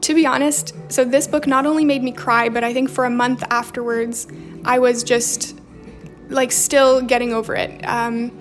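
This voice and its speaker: A young woman with a faint Romanian accent hosts a relaxed livestream. She reads out viewer comments and replies in a chatty way, and her voice sits high with little rise and fall. Soft music plays quietly behind her. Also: moderate pace. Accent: slight Romanian. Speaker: livestream host. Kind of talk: casual livestream chat while journaling. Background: faint background music.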